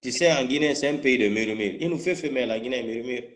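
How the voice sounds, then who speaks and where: A man's voice: mid-range voice, fast. Male political commentator, Guinean French accent, mid-range voice, combative and spontaneous, repeats himself for emphasis. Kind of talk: live political talk, spontaneous.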